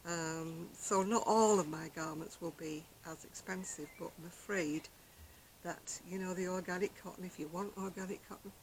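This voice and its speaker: An older woman vlogging about her crochet, chatting casually on an outdoor deck in a quiet, soft-spoken, rambling way. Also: lowish voice, steady tone, normal speed, slight background noise, rough recording. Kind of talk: casual chat to camera. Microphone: external camera microphone.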